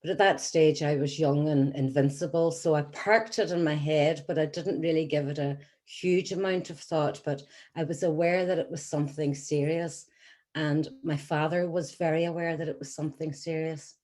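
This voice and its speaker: An Irish woman in her sixties, a patient advocate telling her personal story to an audience in an earnest, measured way with frequent ums. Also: low voice, fairly even intonation, darkish tone. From Scotland, then Ireland. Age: 60s. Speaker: patient advocate giving a talk.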